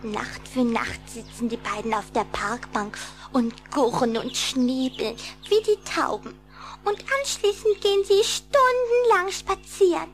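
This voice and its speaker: A high-pitched little vampire girl, curious and excitable. She speaks expressively in a children's radio play, with faint music around her. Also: a little slow. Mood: exasperated. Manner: expressive, giggly, dramatic sighing. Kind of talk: German children's audio drama acting.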